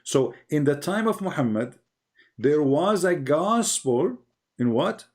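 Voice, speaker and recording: A man's voice: a little high, big pitch swings, slow pace, darkish tone. Man arguing in insistent lecture style. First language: non-English. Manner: insistent, didactic, rhetorical questions. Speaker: religious debater on a live call. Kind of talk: polemical live lecture to a caller.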